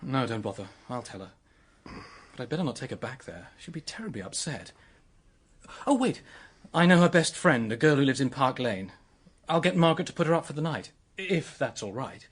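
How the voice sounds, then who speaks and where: An actor playing a flustered, solicitous man in a radio drama, hesitant and agitated, with a husky voice. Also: medium pitch, sing-song, moderate pace. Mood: anxious, solicitous.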